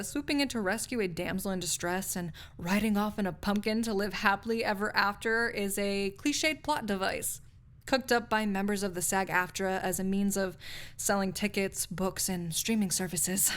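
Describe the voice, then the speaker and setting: Snarky young female academic, played by a woman, mid-pitched, cynical and pedantic, for an audio roleplay. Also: a bit bright, natural voice. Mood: amused, condescending. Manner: pedantic, lecturing, wordy with scholarly vocabulary. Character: snarky, cynical young woman research assistant, dismissive of love. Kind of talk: intimate audio roleplay acting, conversational monologue to listener.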